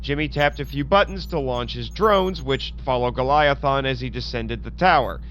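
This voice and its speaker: A man narrating a scripted first-person adventure story in a theatrical, expressive way, acting out his own dialogue as he goes. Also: mid-range voice, moderate pace, lo-fi sound, a little hiss or hum. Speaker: podcast host narrating an audio story.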